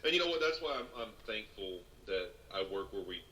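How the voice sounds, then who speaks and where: A man talking as a guest on a casual podcast, chatty and quick to laugh. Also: on the low side, sing-song, pushed and bright, low-quality recording. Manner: laughs often, loose and chatty.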